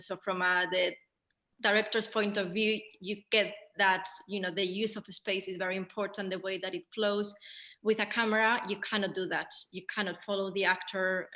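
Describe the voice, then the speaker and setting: A woman's voice: mid-range voice, pushed and bright. A woman with a Spanish accent speaking as a theatre director in a panel discussion, thinking aloud with hesitations. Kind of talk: post-show panel discussion.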